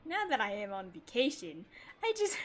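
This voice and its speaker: A woman's voice: medium pitch, wildly swooping pitch, normal speed. A woman hosting a casual gaming livestream, chatting playfully as she comments on the game and reads bits of dialogue aloud. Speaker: livestream host.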